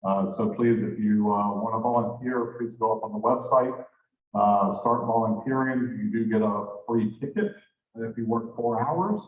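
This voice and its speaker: A man's voice: lowish voice, normal speed. A man making casual announcements at a club meeting, talking in a loose, conversational way and correcting himself as he goes. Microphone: handheld microphone held at a distance. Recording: tinny, echoey room from far off.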